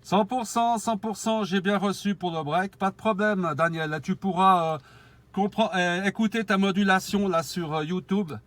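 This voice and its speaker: A man's voice: sits high, moderate pace, a bit bright, no room sound. A man chatting casually and courteously as an amateur radio operator, with friendly exclamations. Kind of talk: casual radio contact chat with call signs.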